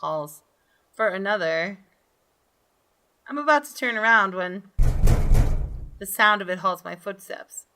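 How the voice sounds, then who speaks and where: A woman reading a visual novel aloud as expressive, dramatic storytelling for a gaming video. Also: mid-range voice, measured pace, long pauses, background noise. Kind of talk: expressive scripted narration with brief asides. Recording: game music behind the voice. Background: soft game music.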